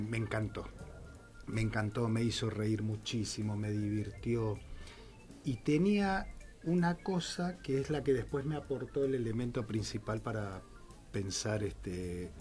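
A man's voice: lowish voice, a little mellow. Older male director guest, Argentine accent, hesitant and thoughtful, studio mic, faint music. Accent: Argentine Spanish. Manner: thoughtful, many "este" fillers. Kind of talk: casual radio interview. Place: small radio studio. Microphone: studio mic with headphones.

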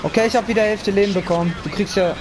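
A man's voice: sits high, fairly even intonation, very fast. A young man hosting a game stream, chatting casually with friends in slangy, sweary language. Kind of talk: casual gaming chat with friends. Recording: game music behind the voice.

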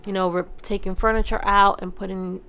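A woman's voice: medium pitch, flat delivery, brisk, sharp tone. A woman giving a casual, chatty vlog voice-over, talking informally and directly to her viewers. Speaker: family vlogger, host. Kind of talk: casual voice-over narration of cleaning.

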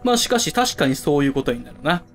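A man's voice: a little high, big pitch swings, fast talker, soft background music. A man playing a pompous, villainous school club president obsessed with masks, grandiose and theatrical, in an amateur voice drama. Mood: haughty.

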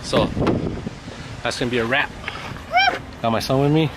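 A man's voice: medium pitch, steady tone, moderate pace. A middle-aged man chatting casually to his vlog audience outdoors, recorded on a phone. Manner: laid-back, informal. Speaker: vlogger, car customizer. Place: outdoors, in or near a vehicle. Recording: loud wind noise from vehicle outdoors. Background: wind and traffic.

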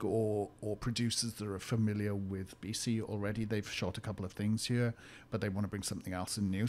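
A man from Wales with a Welsh accent, a location consultant answering interview questions in clear, detailed explanations. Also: fairly low pitch, darkish tone. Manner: articulate, explains at length.

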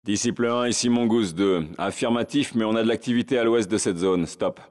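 Steady male soldier acted, lowish voice, easygoing, matter-of-fact, clipped military radio chatter, video game. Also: a little fast, natural voice.

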